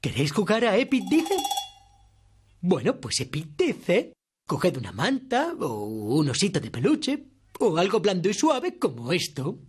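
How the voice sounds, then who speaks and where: A man's voice: sits high, wildly swooping pitch, a little slow, husky, heavily acted. A man playing a playful, mischievous boy puppet in a children's show, with a Castilian Spanish accent and a bouncy, coaxing manner.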